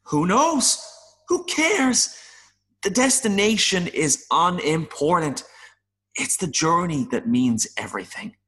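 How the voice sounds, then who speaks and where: A gentle little mayfly, played by a man, speaks at a slow, measured pace. He is earnest and philosophical, full of wistful longing for the wider world, with small hesitant stammers. The delivery is that of an intimate audio play. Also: high voice, big pitch swings, exaggerated voice. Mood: uplifting encouragement.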